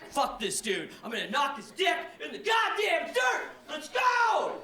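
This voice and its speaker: A man from Kansas with a high-pitched voice doing a brash, profane stand-up set. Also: measured pace, shouting. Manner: brash, profane, animated storytelling. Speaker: stand-up comedian. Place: large comedy venue before a live audience. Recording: echoey live theater, crowd laughter. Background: audience laughter.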